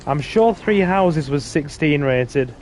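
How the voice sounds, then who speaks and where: A man's voice: fairly high pitch, animated, slow, darkish tone. A man chats his way through a game stream in a slightly raised voice. His talk rambles with fillers and restarts. Game noise hums faintly behind him. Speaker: streamer host. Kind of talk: casual gaming stream chat.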